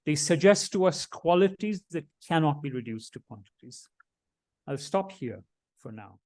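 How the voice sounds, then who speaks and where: A man with a slightly high voice gives a conference talk about his art. He speaks in a measured, reflective way and builds his ideas step by step. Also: expressive, a little mellow. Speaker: artist presenting his work. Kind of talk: conference presentation.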